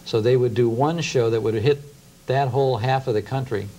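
A man's voice: medium pitch, fairly even intonation, moderate pace, mellow. A man in his fifties with an American accent, explaining as an interview guest. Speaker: guest radio historian. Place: TV studio. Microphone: lapel mic. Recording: faintly noisy old TV tape.

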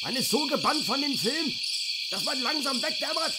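A man's voice: very high voice, animated, normal speed, heavy background noise. A man playing a jittery, talkative camper in a self-aware horror-comedy audio play. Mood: wry. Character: jittery, talkative male camper and flustered story writer. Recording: music behind the voice.

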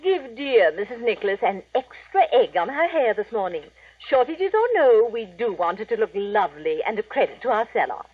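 A thin old radio recording of a pompous female salon owner. Her affected voice swoops widely, slightly husky, coolly condescending in vintage radio drama fashion. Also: on the high side, sing-song, moderate pace, few pauses, narrow, tinny audio. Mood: gushing, affected. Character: haughty, gushing female beauty salon owner. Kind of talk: 1940s radio acting.

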